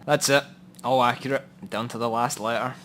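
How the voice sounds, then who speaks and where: A man's voice: medium pitch, fairly even intonation, moderate pace, a bit bright, lightly acted. A man playing a matter-of-fact, grimly wry police contact in a noir radio drama, speaking bluntly and dryly. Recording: audible background noise and ambience.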